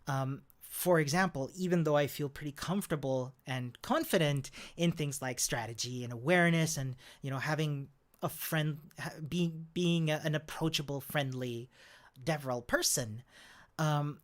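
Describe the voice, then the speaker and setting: A man's voice: a little high, moderate pace. Upbeat Chilean-born man chatting with laughs. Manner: upbeat, chatty, laughs often, many fillers. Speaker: developer advocate. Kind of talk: casual talk to camera.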